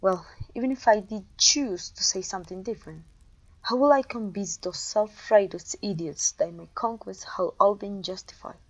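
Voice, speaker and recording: A woman's voice: mid-range voice, moderate pace, dull audio, close to an everyday voice. A woman playing a haughty, domineering evil queen and sorceress in a scripted roleplay audio, imperious, scornful and theatrical. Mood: impatient, arrogant. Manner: imperious, scornful, theatrical, with sighs and disgusted groans.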